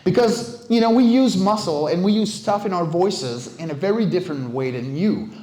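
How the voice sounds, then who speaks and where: A middle-aged man whose first language is French gives a casual conference talk. His voice sits a bit high for a man and rings in an echoey room. He speaks informally, like a seasoned coach addressing a meetup. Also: lively intonation, moderate pace, dark tone. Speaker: guest speaker, voice director and vocal stunt coach. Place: indoor game developer meetup venue. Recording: roomy, echoing event space.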